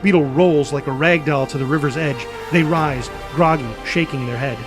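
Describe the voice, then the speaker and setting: A man's voice: fairly high pitch, normal speed, over music. A man narrating a screenplay, reading out scene headings and action as a scripted narrator. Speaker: narrator reading stage directions.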